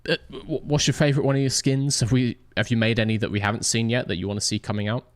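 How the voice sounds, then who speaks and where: A man's voice: medium pitch, fairly even intonation, fast, dry recording. A man hosting a livestream and casually interviewing racers after an event. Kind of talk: casual post-race interview.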